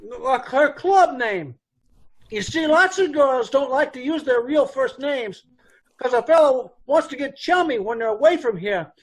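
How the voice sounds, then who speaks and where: An actor playing a brusque, chatty dance hall boss with folksy, blunt speech in an old-time radio police drama. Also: male voice, very high-pitched, lively intonation, a little slow, exaggerated voice. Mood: offhand.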